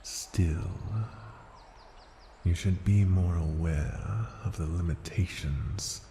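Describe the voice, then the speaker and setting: An ancient dragon, played by a man, speaks in a very deep, gravelly voice, drawing out each phrase extremely slowly. He is haughty and possessive, tenderly menacing in a formal, intimate fantasy ASMR roleplay, often sinking toward a breathy whisper. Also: fairly even intonation, dark tone, echoey room, a little hiss or hum. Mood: condescending, amused. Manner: slow, deliberate, formal, condescending, often dropping to a breathy whisper.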